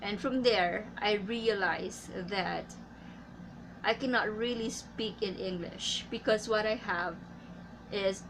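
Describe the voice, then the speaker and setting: Slow-talking Filipino woman. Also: mid-range voice, noisy background. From Philippines. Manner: slow and careful, frequent uh fillers. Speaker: English teacher. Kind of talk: casual tips vlog.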